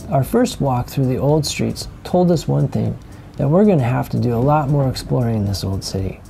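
Calm gravelly man narrating a sailing vlog. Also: mid-range voice, moderate pace, faint music behind. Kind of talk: scripted voice-over narration.

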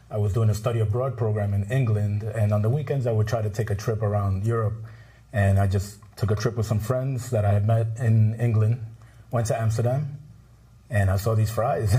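Middle aged bronx guy w/ new chatting easy on a tv set, low mellow voice. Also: rough recording. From the Bronx, New York. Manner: relaxed storytelling, laughs often. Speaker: restaurant owner, guest. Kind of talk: casual interview chat. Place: TV studio.

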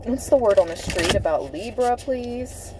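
A woman giving a tarot reading from inside a car, speaking in a slow, dramatic way and repeating key phrases for emphasis. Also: a little high, expressive, background noise. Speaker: tarot reader. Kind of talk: tarot card reading to camera.

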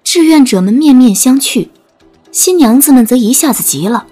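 A woman's voice: high-pitched, animated, moderate pace, mellow, breathy edge. A woman narrating a scripted dramatic audiobook story. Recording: music bed under narration.

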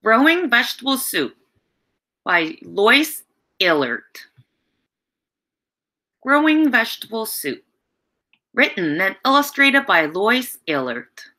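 A middle-aged woman gives a read-aloud lesson for young children over a video call. Her voice is fairly high and very lively in pitch, and she takes her time. She explains things expressively and speaks straight to the children. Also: slow, long pauses. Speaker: teacher reading a picture book aloud. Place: home room with bookshelves.

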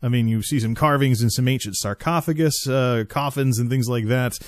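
A man's voice: medium pitch, normal speed, no echo, clean studio sound. A man hosting a casual spoken commentary, talking in a chatty, rambling way with asides and restarts. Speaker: host.

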